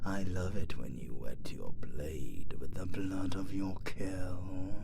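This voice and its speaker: Wicked, lustful British necromancer for audio roleplay: theatrical, gravelly creak, drawn-out delivery, noisy ambient recording. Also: male voice, deep voice, heavily acted. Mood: seductive menace. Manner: theatrical, swings between hushed murmurs and shouted incantations. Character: gleefully wicked, lustful male necromancer raising the dead. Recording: clearly noisy ambient background.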